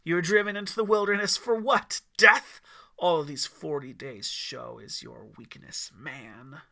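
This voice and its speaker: A man's voice: a little high, unhurried, creaky, bright, forceful tone, heavily acted. A man voicing the devil Lucifer as a scornful, theatrical tempter in an audiobook story, mocking and drawing out his emphasis. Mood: derisive.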